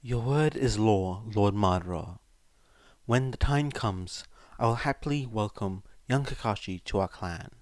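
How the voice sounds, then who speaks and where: An authoritative clan head in a story reading, played by a man. He speaks slowly and formally, with firm delivery and amusement underneath. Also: lowish voice, a little mellow, no echo, natural voice. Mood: amused approval.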